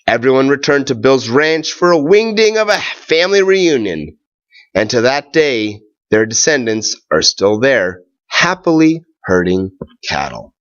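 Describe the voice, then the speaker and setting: A man reading a children's tall tale aloud, with expressive, deliberate phrasing, drawn-out words and pauses. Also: mid-range voice, dull audio.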